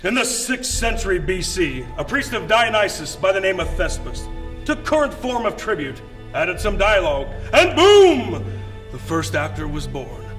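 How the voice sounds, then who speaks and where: High-voiced Midwestern man presenting. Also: very animated, moderate pace, fairly bright tone, soft background music. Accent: Midwestern American. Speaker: co-host, acting coach. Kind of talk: scripted show presentation.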